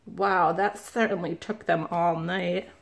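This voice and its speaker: A woman, a children's librarian, reading a picture book aloud slowly and gently, stopping to ask the listeners questions. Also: lowish voice, steady tone, gravelly, slight background noise. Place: indoors at a table, camera above the book.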